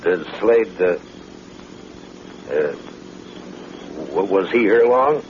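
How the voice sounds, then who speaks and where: An actor playing a stoic, terse American marshal in the Old West, in old-time radio western style. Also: male voice, sits low, expressive, very slow, full character voice. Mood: businesslike. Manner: terse, plain-spoken, unflinching. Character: stoic, hard American Old West lawman, grown man. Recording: muffled, noisy vintage radio recording.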